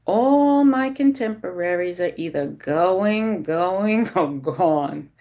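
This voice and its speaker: A woman's voice: lowish voice, animated, takes it slowly, mellow. A woman voicing a chatty, blunt older mother who monologues over the phone in an audiobook-style story reading. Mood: gossipy, insistent. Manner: brash, monologuing, interrupting.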